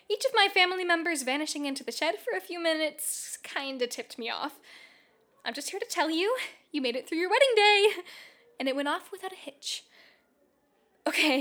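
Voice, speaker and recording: Actress as high-voiced, tipsy, affectionate bride. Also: female voice, fairly bright tone. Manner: candid, rambling, teasing asides. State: slightly drunk. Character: Kit, tipsy newlywed bride, affectionate, playful older sister. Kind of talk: radio play monologue, intimate recorded diary.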